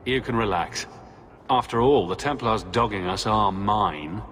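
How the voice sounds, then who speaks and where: An actor playing a confident, composed male adventurer in a video game, measured and diplomatic with a dry edge. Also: lowish voice, fairly bright tone, a little hiss or hum. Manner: measured, diplomatic, dryly probing. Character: composed, confident human adventurer, the heroic lead. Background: game music.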